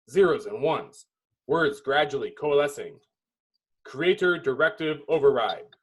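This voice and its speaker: A man's voice: fairly high pitch, breathy edge, rough recording. A man giving dramatic scripted narration, reading a film script's stage directions.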